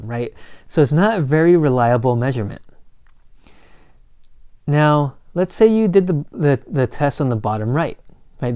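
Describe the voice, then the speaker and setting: A man giving a recorded college lecture in a casual, joking way, his voice slightly hoarse, often checking in with "right?". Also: medium pitch, warm tone, no room sound, clean studio sound. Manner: casual, corny asides, frequent "right?" and "um". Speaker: college instructor.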